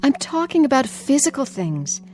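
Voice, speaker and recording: An actress playing an anxious, caring granddaughter, speaking earnestly and pleadingly in a radio drama. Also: female voice, high voice, very animated, normal speed, darkish tone. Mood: pleading, gentle.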